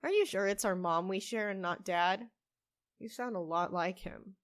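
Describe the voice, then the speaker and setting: A woman's voice: mid-range voice, normal speed, dry recording, clean studio sound, natural voice. A woman playing a stressed, stubborn barista in an audio drama, with a wry, defensive, casual way of talking. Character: stressed, stubborn half-human young-looking woman barista, grieving, wry.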